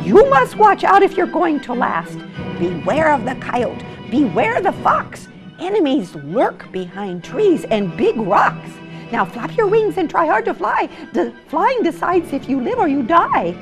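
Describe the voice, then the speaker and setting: A woman's voice: very high voice, very animated, measured pace, music in the background, pitched above the performer's own voice, full character voice. A woman voicing an anxious, bossy mother hen turkey in a storybook, instructing her brood with emphatic warnings. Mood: urgent warning. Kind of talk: storybook character voice.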